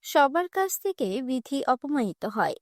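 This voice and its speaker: A woman's voice: high voice, big pitch swings, normal speed, no room sound, clean studio sound. A woman narrating a cartoon fairy tale in a scripted storytelling manner.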